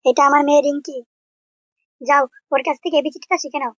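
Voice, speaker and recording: Man voicing a high, quick, kindly cartoon tutor. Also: steady tone, bright, forceful tone, no room sound, highs cut off. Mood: friendly. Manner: kindly, coaxing. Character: indulgent, easy-going male neighbourhood tutor. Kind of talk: comedy cartoon voice acting.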